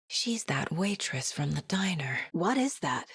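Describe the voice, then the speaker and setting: A determined female investigator in a video game. Her voice is lowish and clearly husky, recorded very dry and close. She sounds introspective and focused, keeping things understated and natural. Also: big pitch swings, a little slow, fairly bright tone, dry recording, exaggerated voice. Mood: thoughtful, focused. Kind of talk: video game character voice lines.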